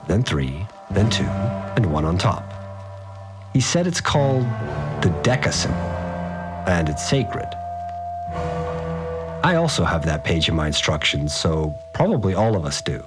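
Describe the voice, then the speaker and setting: An actor playing an awed, rough-spoken working man who recounts strange events in a slangy, rambling audio diary for a fiction podcast. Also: deep voice, sing-song, a little slow, long pauses, over music. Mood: awed, stirred. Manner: slangy, rambling, unsure of his words. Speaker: fixer, a manual worker.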